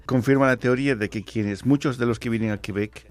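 A man hosting a radio interview, introducing his guest and asking questions in a measured, friendly way. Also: mid-range voice, steady tone. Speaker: radio host.

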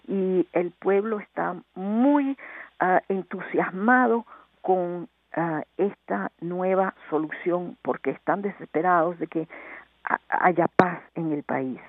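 A woman's voice: fairly low pitch, slow pace, no echo. A Venezuelan-born woman sociologist speaking in an impassioned, indignant way as a guest, heard over the phone. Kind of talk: phone interview. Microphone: telephone. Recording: tinny phone line.

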